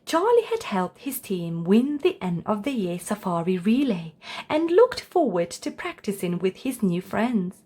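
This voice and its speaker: A woman's voice: medium pitch, very animated, measured pace, dark tone, slightly rough. A woman reading a scripted children's story aloud as narrator.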